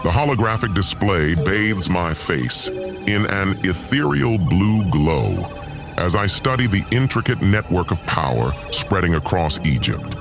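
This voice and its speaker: A man's voice: sits low, sing-song, unhurried, music in the background. A man reading dramatic scripted narration in the first person. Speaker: writer and narrator.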